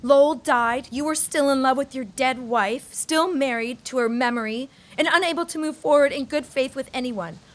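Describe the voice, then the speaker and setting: A woman's voice: high voice, normal speed, hardly any pauses, fairly bright tone. An actress playing a proud, wounded young society woman in a period audio drama, speaking in a formal, theatrical, haughty way. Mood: wounded, bitter.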